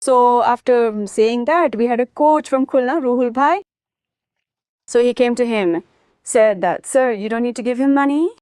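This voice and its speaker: A woman from Bangladesh telling her life story as an interview guest, in an animated, emphatic manner. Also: fairly high pitch, normal speed, smooth, no room sound. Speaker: interview guest, former sprinter.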